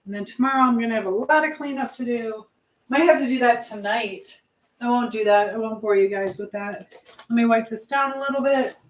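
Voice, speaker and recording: A woman's voice: a little high, brisk, a little hiss or hum, rough recording. A woman hosting a casual live chat, rambling and trailing off mid-thought as she talks to viewers and reads their comments. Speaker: live stream host.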